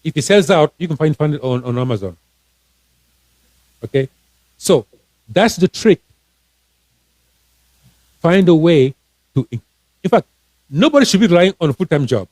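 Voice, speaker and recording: A man's voice: fairly high pitch, expressive, normal speed, long pauses, darkish tone, no room sound. A man from Cameroon, a financial coach and author, giving casual advice on a live stream, earnest and encouraging, often restarting his words.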